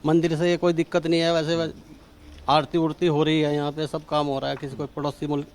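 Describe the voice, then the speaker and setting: Male resident, western Uttar Pradesh accent, fairly high even voice, colloquial vox-pop delivery. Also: fairly quick. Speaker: local resident interviewed. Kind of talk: informal street interview answer.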